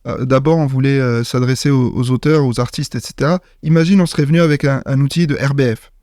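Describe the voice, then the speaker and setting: A man's voice: mid-range voice, fairly even intonation, fast talker, a little mellow, no echo, studio quality. A man from Morocco, a startup founder, speaking in a casual interview, hesitant with many fillers and restarts.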